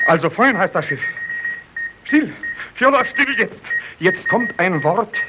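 An actor playing an excitable young Russian amateur radio operator in an old German radio play, urgent and halting, repeating words breathlessly. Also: male voice, high-pitched, loud music behind the voice. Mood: tense, listening hard. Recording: muffled old recording, loud radio static, beeps.

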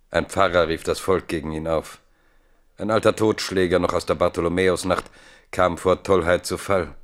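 A man narrating a literary radio play in German with measured, dryly ironic storytelling. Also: sits low. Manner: measured, dry storytelling with irony.

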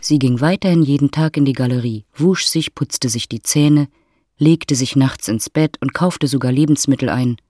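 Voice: medium pitch, moderate pace, warm tone, no room sound. A narrator reading scripted literary prose for an audio drama. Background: music.

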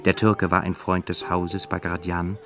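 A man giving measured, grave literary narration in a radio drama. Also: low voice, flat delivery, music in the background.

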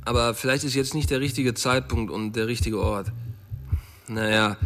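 An actor playing a streetwise young sidekick, loyal but pushy, talking colloquially and persuasively in a crime radio drama. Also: male voice, mid-range voice, level tone, normal speed, fairly bright tone, soft background music, lightly acted. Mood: confiding, earnest.

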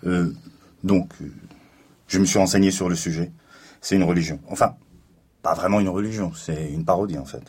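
A man playing an easygoing, hapless police officer in a comic audio drama, rambling and hesitant, with a husky voice. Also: deep voice, steady tone, moderate pace, pauses often, darkish tone.

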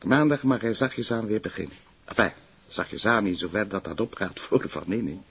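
An actor playing a calm, courteous police detective with a Flemish accent, speaking in a measured way in a television crime drama. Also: male voice, fairly low pitch, slightly rough, lo-fi sound, exaggerated voice. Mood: deferential.

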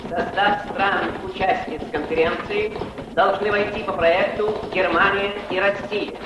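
A man's voice: high-pitched, fairly even intonation, measured pace. A man reading formal scripted announcements as narrator in a radio play. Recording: echoey, noisy old radio recording.

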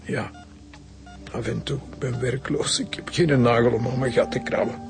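A defensive small-time Flemish crook played by a male actor, with a creaky, gravelly voice of medium pitch. He speaks in halting, colloquial phrases, as in naturalistic radio drama with music in the mix. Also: steady tone, natural voice. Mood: weary, confessing. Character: defensive, down-on-his-luck male petty copper thief.

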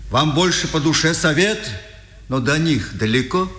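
A slow, stiff policeman. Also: fairly high pitch, animated, fairly bright tone. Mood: resigned. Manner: formal, deliberate, resigned. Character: stiff, submissive village policeman, a dutiful little man. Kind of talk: 1950s Soviet radio drama acting. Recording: echoey, reverberant old radio recording.

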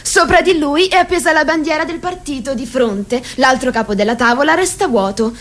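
A woman acting as a formal narrator in a radio play, reciting in a deliberate, measured way. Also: sits high, fairly bright tone. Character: female narrator reciting a party hierarchy, precise and formal. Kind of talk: theatrical radio drama narration in Italian.

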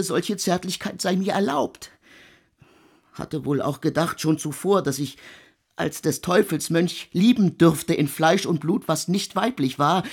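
Ein Mann spielt einen gequälten Komponisten, der in einem Hörspiel in altertümlichem Deutsch feierlich und stockend seine Sünden beichtet, mit heiserer Stimme. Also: male voice, a little high, slightly rough. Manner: solemn, archaic, halting confession. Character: tormented composer confessing his sins in archaic German. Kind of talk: radio play monologue.

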